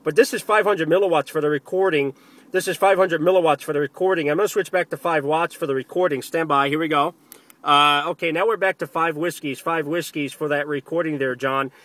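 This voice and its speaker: A man's voice: fairly high pitch, a little fast, fairly bright tone, no echo. A man operating amateur radio, talking over the air and repeating phrases for emphasis. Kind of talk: ham radio contact, spoken to camera and over the air. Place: outdoors in the field.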